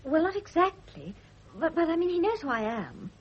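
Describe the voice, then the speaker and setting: An actress playing a girlish, impulsive young British mistress, besotted and jealous, with a breathy voice, in radio drama style. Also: extremely high pitch, sing-song, normal speed, a little hiss or hum. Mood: flustered. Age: young adult. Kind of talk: 1970s radio drama acting. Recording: muffled vintage radio drama recording.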